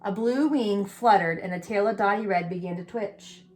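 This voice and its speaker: A woman's voice: mid-range voice, moderate pace, a little hiss or hum. A woman reading a picture book aloud to young children, with chatty asides about the pictures and questions to the listeners. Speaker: storybook reader for preschool children.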